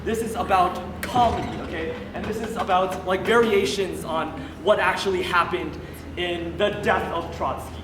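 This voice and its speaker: Distant, very noisy hall recording; high-pitched young male student director, jokey and rambling, introducing a play casually. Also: steady tone, normal speed. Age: young adult. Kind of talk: casual live stage introduction. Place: school stage, recorded from the audience. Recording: loud noise, echoey hall, distant mic.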